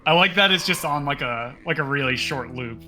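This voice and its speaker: A man's voice: mid-range voice, brisk, sharp tone, soft background music. A man giving casual, explanatory live commentary on a video game speedrun.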